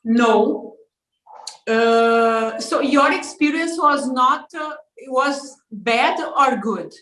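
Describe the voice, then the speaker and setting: A woman from Brazil answering interview questions in hesitant English full of pauses and fillers. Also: high-pitched, a bit bright. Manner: slow, hesitant, halting English with many fillers. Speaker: interview guest, parent. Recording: roomy echo.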